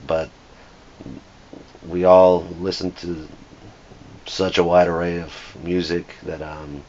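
A man from Argentina talks thoughtfully and often restarts his phrases. His voice is low, and the studio recording is a bit dull, with faint hiss behind it. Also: darkish tone. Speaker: band guitarist. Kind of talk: casual interview talk. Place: music studio, indoors.

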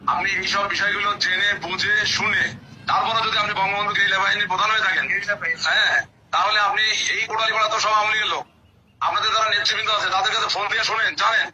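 A man's voice: sits high, steady tone, fast. A Bangladeshi police officer-in-charge, a man explaining himself defensively and insistently on a recorded phone call, often repeating his points. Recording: noisy recorded phone line.

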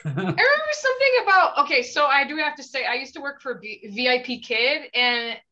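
A woman hosts a relaxed interview on a video call. Her voice is high and bubbly, and she often chimes in with short, encouraging replies. The call audio sounds dull and lo-fi. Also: brisk. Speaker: interviewer, host.

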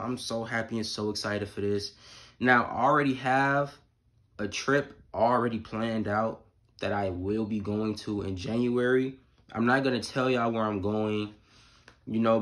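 A man's voice: on the low side, a bit bright. A twenty-year-old American man chatting casually to camera in a slangy, emphatic vlog style, repeating phrases for emphasis. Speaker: YouTube vlogger. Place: small indoor room at home. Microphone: phone or camera at arm's length.

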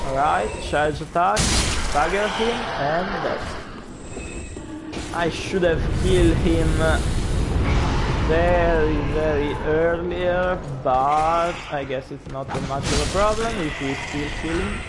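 A man's voice: fairly high pitch, slow, over loud music. A young man with an Italian accent, a non-native English speaker, chatting as he streams a game and reacting aloud to it. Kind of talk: gameplay commentary.

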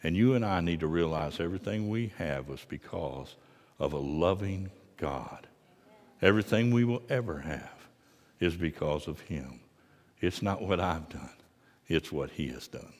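Middle-aged pastor with a lively, swinging delivery. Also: male voice, low-pitched, measured pace, big-room sound. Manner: preaching that swings from quiet to loud and fervent. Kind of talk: sermon. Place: large church sanctuary, indoors.

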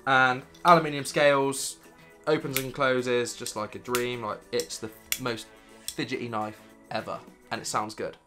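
A British man in his thirties with a croaky voice from illness, chatting enthusiastically about his gear to camera in a home studio. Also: medium pitch, flat delivery, moderate pace, music in the background. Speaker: vlogger. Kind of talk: casual gear review to camera. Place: dim home studio room, indoors.

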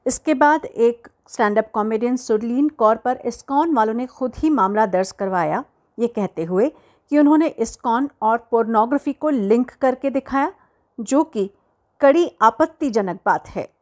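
A woman's voice: on the high side, moderate pace, darkish tone. A woman in her forties hosting an entertainment news commentary to camera from a room at home, speaking earnestly and measuredly with frequent hesitations.

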